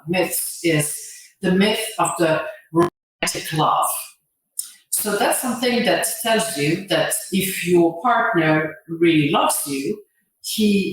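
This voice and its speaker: Echoey, distant woman with Bosnian accent. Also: low voice. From Sarajevo, Bosnia. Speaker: motivational speaker and angel investor. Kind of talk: informal motivational talk. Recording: very echoey room, distant microphone.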